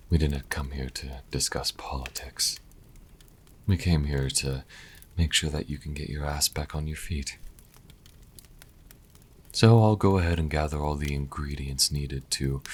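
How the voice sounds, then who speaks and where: A man's voice: extremely low pitch, husky, exaggerated voice. A man playing a sassy, scholarly vampire in an audio roleplay, speaking in a wry, leisurely and articulate way. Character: sassy, scholarly male vampire, dryly hospitable.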